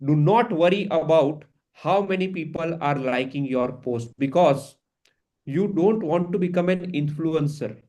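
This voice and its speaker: A man's voice: a little high, a little mellow, rough recording. A man, hosting as a career coach and giving an earnest, emphatic motivational talk to his community. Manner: earnest, emphatic, switches into Hindi phrases.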